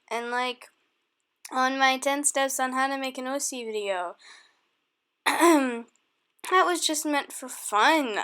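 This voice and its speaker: A woman with a high voice talking to camera in a rambling vlog, hesitant and defensive, with halting restarts and trailing-off sentences. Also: fairly bright tone. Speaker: video creator. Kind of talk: rambling vlog response to comments.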